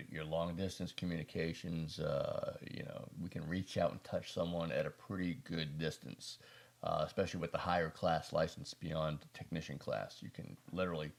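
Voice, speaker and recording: An American man with a deep voice and plenty of gravelly fry. He talks to his viewers as a casual prepper host reviewing gear. His delivery rambles, with folksy asides and a self-deprecating streak. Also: darkish tone. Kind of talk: casual product review talk to camera.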